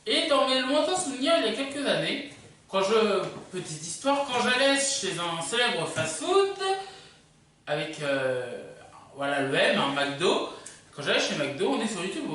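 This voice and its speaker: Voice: mid-range voice, a bit bright, slight background noise. A video host presenting a countdown casually and unscripted, rambling and hesitant, often drifting into asides. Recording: echoey room, distant microphone.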